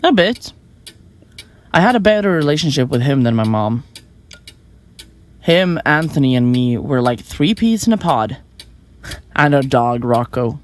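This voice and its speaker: A woman playing a flippant, self-important young murderer in an audio drama, glib and quick to get defensive. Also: very deep voice, very animated, exaggerated voice. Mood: offhand. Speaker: murderous podcaster in a therapy session. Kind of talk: audio drama acting, conversational.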